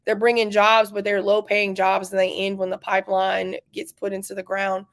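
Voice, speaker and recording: A woman's voice: mid-range voice, monotone, normal speed. A woman from North Carolina, a community organizer, speaking earnestly and with passion to a virtual rally. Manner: earnest and impassioned.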